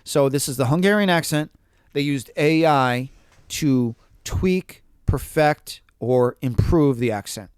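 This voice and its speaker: Male podcast co-host, animated talker, crisp voice, dry close-miked sound. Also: fairly high pitch, takes it slowly, no echo. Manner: animated, quotes people in acted asides. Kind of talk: casual podcast discussion.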